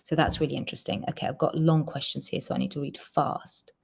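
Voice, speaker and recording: Quick-talking low-voiced woman singer. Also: fairly even intonation, gravelly, husky. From Georgia. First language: Georgian. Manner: quick, chatty, lots of ums. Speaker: singer reading fan questions. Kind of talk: casual livestream Q&A.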